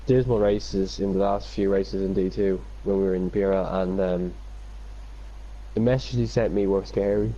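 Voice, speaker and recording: Dark-voiced Irish man on a muffled mic. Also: sits low, fairly even intonation, moderate pace. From Ireland. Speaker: podcast co-host. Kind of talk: casual podcast banter. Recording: muffled dull mic with background noise.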